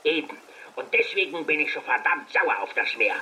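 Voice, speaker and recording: Sharp, blustering pirate captain. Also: male voice, a little high, very animated, normal speed, a little hiss or hum, exaggerated voice, poor audio. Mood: gruff bragging. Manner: blustering, swaggering, cursing. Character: boastful, angry male pirate captain, grudge against the sea. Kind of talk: German radio play acting.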